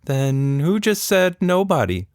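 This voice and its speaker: Young girl for a children's tale, male performer: high, earnest, inquisitive, kind. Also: big pitch swings, measured pace, clean tone, no room sound, studio quality. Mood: curious. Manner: earnest, questioning. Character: curious, kindly young girl, easily startled.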